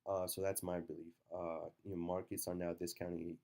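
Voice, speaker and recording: Low, flat-voiced man with a stuffy cold. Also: gravelly. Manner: halting, many fillers and restarts. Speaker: market commentator. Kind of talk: casual market commentary.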